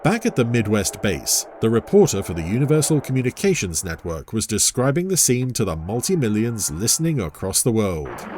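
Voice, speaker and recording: A man's voice: on the low side, sing-song, a little fast, hardly any pauses, background noise. A man narrating a scripted adventure story in a dramatic storytelling style.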